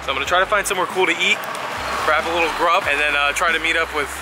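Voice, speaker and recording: A man's voice: a little high, fairly even intonation, very fast, poor audio. A young man talking to a handheld camera as he travels, chatty and jokey with his viewers. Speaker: travel vlogger. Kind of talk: casual vlog narration. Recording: loud music behind the voice.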